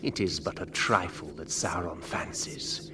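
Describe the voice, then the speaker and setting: Very deep, slow sinister messenger. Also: male voice, steady tone, in a whisper. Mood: coaxing menace. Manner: slow, coaxing, menacing. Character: sinister dark messenger of an evil lord, smooth menace. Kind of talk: radio drama acting. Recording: distant, noisy atmospheric effects.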